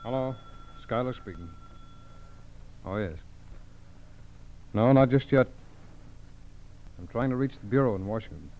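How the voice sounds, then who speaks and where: An actor playing a businesslike, level-headed FBI agent with a clipped, matter-of-fact manner in 1940s radio drama. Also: male voice, medium pitch, animated, unhurried, long pauses. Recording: dull, hissy vintage radio recording.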